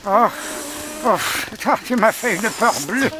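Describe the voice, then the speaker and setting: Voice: medium pitch, a little slow, noisy background, close to an everyday voice. An actor voicing an anxious, pessimistic male animal in a cartoon, stammering and whining as he frets. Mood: terrified. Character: anxious, pessimistic male animal called Doc, fretful worrier. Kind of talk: animated cartoon dub acting. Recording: cartoon soundtrack with music behind.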